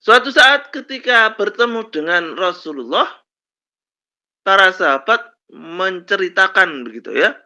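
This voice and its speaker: A male lecturer with a slight cold, telling stories slowly with many fillers in a lesson on Islamic law. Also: sits high, lively intonation, bright, forceful tone. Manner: slow storytelling with many fillers and "kan begitu ya". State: has a cold, coughs. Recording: dull lo-fi recording.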